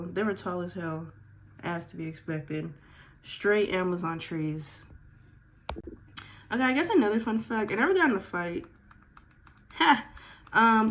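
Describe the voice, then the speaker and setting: 22 yr old girl just chatting on her vlog while doing makeup, says like a lot, super casual. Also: medium pitch. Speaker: vlogger.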